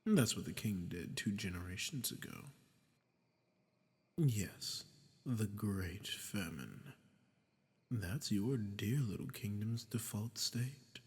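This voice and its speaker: A man performs a sadistic archdemon for an audio roleplay, low-voiced and gravelly, in a long cavernous reverb. He is condescending and commanding, taking his time with every threat and sinking into breathy murmurs. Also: lively intonation. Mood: smug menace. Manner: slow, menacing, condescending, dropping into breathy murmurs. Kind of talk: scripted audio roleplay addressed to the listener.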